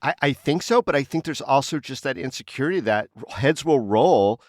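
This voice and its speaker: A man's voice: mid-range voice, lively intonation, moderate pace, dry recording, clean studio sound. A man co-hosting a casual panel discussion, speaking in an opinionated way and posing rhetorical questions. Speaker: podcast co-host.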